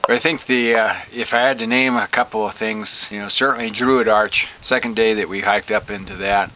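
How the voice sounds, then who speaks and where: A man on a desert hike, chatting in a relaxed way with frequent pauses. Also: lowish voice, a little hiss or hum, poor audio. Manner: relaxed, hesitant with fillers. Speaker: hiking companion and ham radio operator. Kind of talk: casual outdoor chat.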